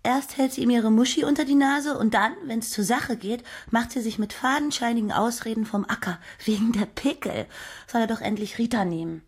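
An actress playing a brisk, chatty woman colleague on a television writing team in a radio drama. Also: on the high side, breathy edge.

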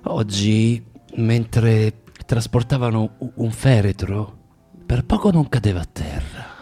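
An actor playing a bitter, self-loathing drinker who moralizes haltingly and insistently in a radio drama. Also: male voice, lowish voice, mellow, soft background music. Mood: morbid, disgusted. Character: bitter, preachy, self-loathing male drinker lecturing a young prostitute.

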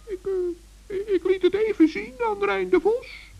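A tiny, naive forest gnome with an extremely high, squeaky voice full of creak. He sounds innocent, hesitant and childlike, in the manner of an old children's radio play. The vintage recording is dull. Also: fairly even intonation, measured pace, exaggerated voice. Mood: evasive, sheepish. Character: small, kind-hearted, naive little forest gnome, male.

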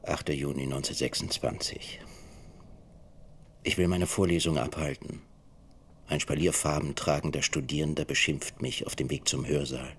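Very deep-voiced, defiant professor. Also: male voice, fairly even intonation, moderate pace, fairly bright tone, a little hiss or hum. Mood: indignant. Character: defiant, bitterly ironic persecuted 1930s German professor. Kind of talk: dramatised radio play monologue.

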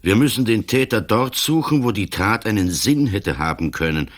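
An older actor with a Swiss German accent playing a calm, stubborn, ailing police commissioner in a radio play, speaking cold and firm. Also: male voice, fairly low pitch, normal speed. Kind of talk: 1980s radio play acting.

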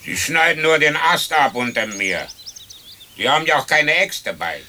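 A man's voice: fairly high pitch, sing-song, moderate pace, sharp tone, a little hiss or hum, full character voice. An actor playing the matter-of-fact ghost of a hanged man in a radio play, making short plain remarks. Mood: dry. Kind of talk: radio drama acting.

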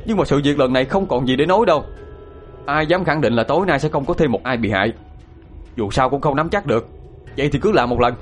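A man's voice: fairly high pitch, voice pushed up, close to an everyday voice. A man voicing a stubborn, angry young son-in-law who speaks curtly and gives orders.

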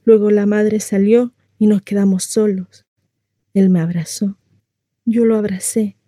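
A woman performs a housewife shut in at home, telling her story to a neighbour in a radio monologue. Her voice is very mellow and mid-pitched, recorded dry. She rambles conversationally, naive and confiding, tossing out rhetorical questions. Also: fairly even intonation, measured pace, no echo, lightly acted.

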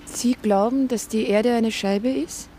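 A woman's voice: a little high, steady tone, unhurried, dark tone, a little hiss or hum, natural voice. An actress playing a polite, questioning and incredulous woman radio presenter held hostage in a radio play. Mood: polite disbelief. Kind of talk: contemporary radio play acting.

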